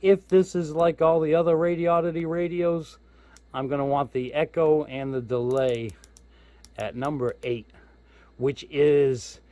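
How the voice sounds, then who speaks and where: A man's voice: a little high, dark tone, no echo. A man giving a casual hands-on product walkthrough as a hobbyist reviewer, informal and thinking aloud as he goes. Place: indoors at home.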